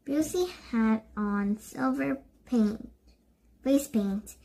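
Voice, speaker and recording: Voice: mid-range voice, drawn-out words, vocal fry, warm tone. A child reading a storybook aloud, word by word, with stumbles and self-corrections.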